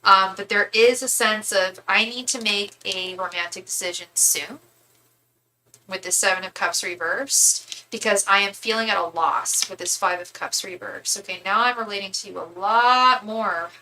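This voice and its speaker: A woman's voice: medium pitch, normal speed, bright, forceful tone, poor audio. A woman in her thirties giving a live tarot reading, chatty and rambling, with many asides and restarts. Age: 30s. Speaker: tarot reader.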